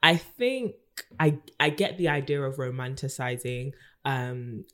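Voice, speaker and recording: A woman's voice: rumbling low, expressive, a little slow, a bit bright. A young woman co-hosting a podcast in casual chat, laughing often and giving advice at length. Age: young adult. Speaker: podcast co-host.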